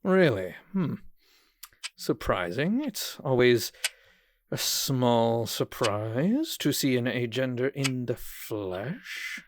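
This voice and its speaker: A man's voice: mid-range voice, big pitch swings, takes it slowly, a little hiss or hum. A man playing a condescending, falsely cheerful psychiatrist in a horror audio drama, with a smug, mock-polite manner. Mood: patronizing. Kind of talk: theatrical audio drama acting.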